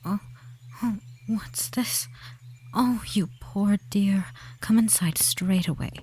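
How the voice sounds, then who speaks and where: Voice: medium pitch, slow, darkish tone, breathy edge. A performer voicing a tender, devious male forest witch in an ASMR roleplay, whispering breathily and fussing soothingly over the listener with endearments. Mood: soothing, welcoming.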